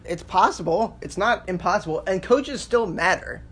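A man's voice: sits high, lively intonation, moderate pace, fairly bright tone, husky. An American man co-hosting a sports podcast, making his case in a casual back-and-forth debate.

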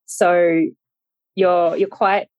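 A woman's voice: on the low side, little pitch movement, very slow, a little mellow, no echo. A young woman with a New Zealand accent, a podcast guest talking casually and thoughtfully with plenty of fillers and restarts. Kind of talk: casual interview conversation.

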